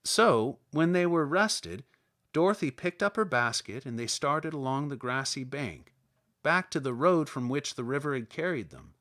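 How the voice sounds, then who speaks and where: A man reading a children's story as an expressive audiobook narrator. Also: mid-range voice, moderate pace.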